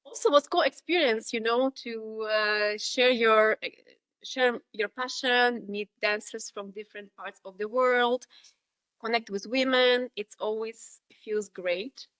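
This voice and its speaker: A woman's voice: a little high, a little slow, a bit bright, clean tone, no room sound. A woman from Russia in her thirties with a Russian accent, reflecting as a podcast guest, with many fillers and restarts. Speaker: guest, dance instructor. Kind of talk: podcast interview.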